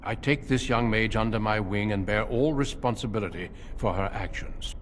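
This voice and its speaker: An actor playing a calm, resolute recruiter for a warrior order in a fantasy game, formal and measured. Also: male voice, fairly low pitch, slight background noise, natural voice. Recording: reverberant game dialogue with music.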